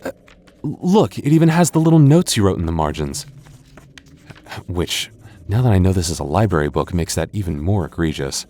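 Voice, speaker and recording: A man's voice: low voice, very animated, moderate pace, long pauses, mellow. A man voicing a playful, teasing young goth boyfriend in an ASMR roleplay, often stammering and chuckling. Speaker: voice actor.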